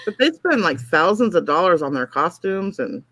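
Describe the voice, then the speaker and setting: Lively, chatty woman host. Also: fairly low pitch, moderate pace, no room sound. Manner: chatty storyteller, reads out chat comments. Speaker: livestream host. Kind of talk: casual late-night chat.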